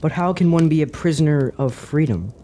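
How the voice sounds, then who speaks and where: A man's voice: a little high, fairly quick, mellow, lightly acted. A man playing an earnest young lad seeking truth in a fantasy radio drama, questioning in sincere, formal phrases. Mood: searching, puzzled.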